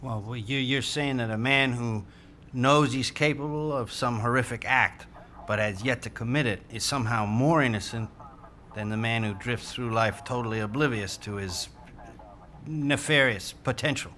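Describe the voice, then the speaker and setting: An actor as a bright-voiced, earnest male negotiator. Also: mid-range voice, normal speed, slight background noise, natural voice. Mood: puzzled. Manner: hesitant, placating, wordy and formal. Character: earnest, careful male negotiator coaxing someone to safety. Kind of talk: audio drama acting.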